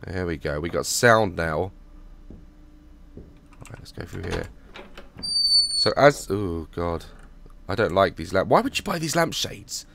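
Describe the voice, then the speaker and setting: A hoarse young man on a headset mic, nervously joking and swearing through a horror game as a gaming YouTuber. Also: low-pitched, big pitch swings, slow, long pauses, fairly bright tone, a little hiss or hum. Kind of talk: horror game let's play commentary.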